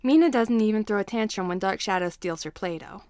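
A woman's voice: mid-range voice, brisk, a little mellow, no echo. Woman reading a story, close dry sound. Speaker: fan fiction reader. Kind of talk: scripted story narration.

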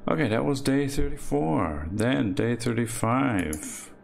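Slow, gravelly man explaining synth patches. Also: medium pitch, sing-song. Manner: slow, halting explanation with many fillers. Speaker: modular synth patch demonstrator. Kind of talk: screen-recorded synth walkthrough. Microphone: voice-over on a screen recording. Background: synth music playing underneath.

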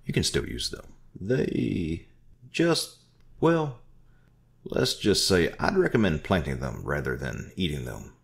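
A man's voice: low voice, very animated, takes it slowly, gravelly, slightly rough, exaggerated voice. A man doing a blustering old shopkeeper. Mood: sly. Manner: blustering, haggling, shouting back. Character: gruff older male shopkeeper, cagey and stubborn.

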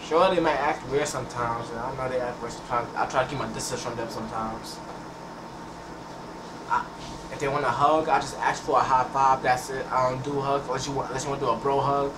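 New teen dude talking over a game, keeps repeating himself, sounds far off in a noisy echoey room. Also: male voice, mid-range voice, fairly even intonation, a little fast. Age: teens. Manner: rambling, repeats words, restarts often. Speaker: gameplay channel host. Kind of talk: casual talk over gameplay. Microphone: headset mic.

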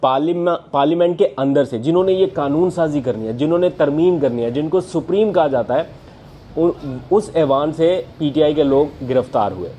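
Mellow-voiced young man vlogging. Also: fairly high pitch, normal speed, a little hiss or hum. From Pakistan. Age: young adult. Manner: earnest analytical talk with frequent uh fillers and hand gestures. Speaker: political journalist. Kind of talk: political news vlog. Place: home living room, indoors. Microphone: lapel mic.

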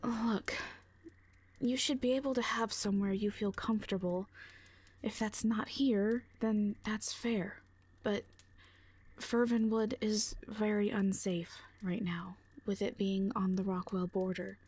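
A woman acts out a protective fantasy warrior woman for an audio drama. Her voice is husky and sits at a medium pitch. She is dry, blunt and sardonic, with care underneath. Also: fairly even intonation, a little slow, a little mellow. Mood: firm concern. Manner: blunt, dry, foul-mouthed. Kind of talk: audio roleplay drama acting.

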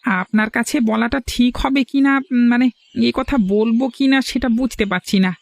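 A man's voice: very high-pitched, moderate pace, gravelly, dry recording. A man voicing a hesitant, gossiping visitor from the city in a Bengali cartoon, confiding as he talks.

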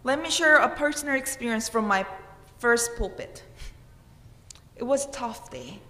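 A woman's voice: a little high, lively intonation, measured pace, pushed and bright. A woman in her thirties with a Korean accent, preaching a sermon at a church pulpit in an earnest manner with emphatic questions. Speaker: pastor. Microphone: pulpit microphone. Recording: roomy church with long reverb.

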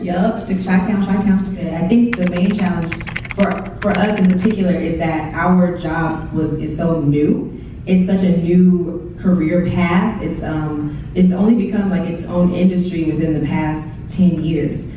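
A woman's voice: lowish voice, fairly even intonation, measured pace, warm tone, slight background noise. A young woman from Texas on a panel, talking casually and openly about her work into a handheld mic and quoting her own thoughts. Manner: chatty, candid, quotes her own thoughts. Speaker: YouTuber on a panel. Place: large hall, indoors. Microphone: handheld mic, heard from a far camera. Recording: echoey hall from far off, dull.

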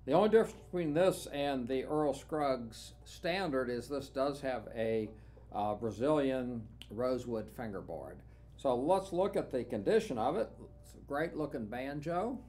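Relaxed older man showing off a banjo. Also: mid-range voice. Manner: relaxed, unhurried, with frequent "uh" fillers. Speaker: banjo dealer. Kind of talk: casual product walkthrough. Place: small studio room with instruments, indoors.

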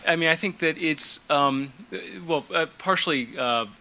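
A man's voice: on the high side, unhurried, sharp tone, clean tone. A middle-aged man, a lawyer on a conference panel, answering questions with many fillers and restarts into a handheld mic. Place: conference room.

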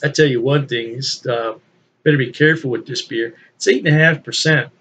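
A man's voice: mid-range voice, fairly quick, fairly bright tone, breathy edge. An older man chatting casually and folksily as he reviews a beer at his home desk, chuckling to himself now and then. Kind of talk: casual solo video review. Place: home office with beer memorabilia, indoors. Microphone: webcam at desk.

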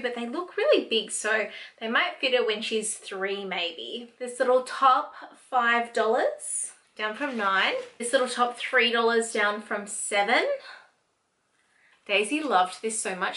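Bright-voiced Australian woman chatting. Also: on the high side. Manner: gushing, rambling, self-justifying. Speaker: family vlogger. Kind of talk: casual chatty haul vlog. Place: bright home room indoors.

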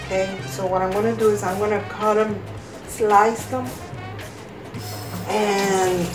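A woman with a slightly hoarse voice hosting a casual cooking demonstration, talking step by step in a halting way. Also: mid-range voice, little pitch movement, over music. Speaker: cooking vlog host. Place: home kitchen, indoors.

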